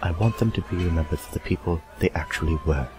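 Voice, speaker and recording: A man's voice: sits low, quick pace, over music, lightly acted. A man playing an earnest, loyal friend in a fantasy audio drama, speaking in a sombre way.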